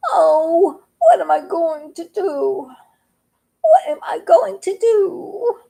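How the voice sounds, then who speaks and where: A woman's voice: very animated, slow, vocal fry, mellow, full character voice. A woman voicing a small frightened male frog that whimpers and pleads for help. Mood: crying, pleading. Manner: crying, high whimpering pleas.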